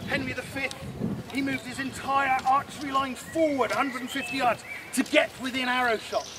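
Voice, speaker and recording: A man presenting a longbow display at an outdoor medieval fair, shouting drill commands and teasing the crowd, recorded from a camera some way off. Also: extremely high pitch, fairly even intonation, normal speed, heavy background noise. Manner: loud commanding drill calls, teasing the audience. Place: open field at a medieval fair. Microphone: far camera, no mic.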